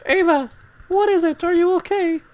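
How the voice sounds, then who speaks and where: A woman's voice: very high voice, normal speed. A woman playing an anxious, proper lady with formal, precise wording in an amateur dramatic reading. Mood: concerned, soothing. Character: anxious, proper woman, impatient yet sympathetic.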